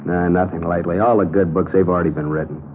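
A man's voice: low-pitched, fairly even intonation, fast talker, phone-quality sound, natural voice. An actor playing a boastful, suspicious man posing as a tough criminal in a 1950s radio drama. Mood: cocky, guarded. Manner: boastful, wise-guy. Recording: thin noisy old radio, humming.

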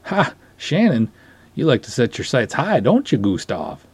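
A man's voice: mid-range voice, huge pitch swings, a little slow, breathy edge, no room sound, full character voice. A man voicing a cop's teasing, incredulous small-town pal in a dramatic story reading, casual and needling.

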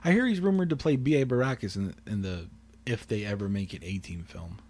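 A man co-hosting a casual podcast chat, talking quietly and calmly, rambling with restarts and swearing. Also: lowish voice, fairly quick. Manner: quiet, calm delivery; rambling with frequent restarts and profanity. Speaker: podcast co-host.

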